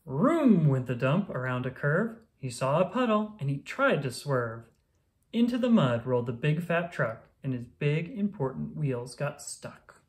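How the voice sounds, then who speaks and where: Storybook reader for young children: mid-range voice, animated melody, unhurried measured delivery. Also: medium pitch. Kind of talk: children's picture book read-aloud, rhyming narration.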